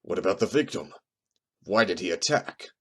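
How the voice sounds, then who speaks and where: A man's voice: low-pitched, lively intonation, moderate pace, vocal fry, exaggerated voice. A man voicing a stern, argumentative wolf in a courtroom audio drama. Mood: challenging.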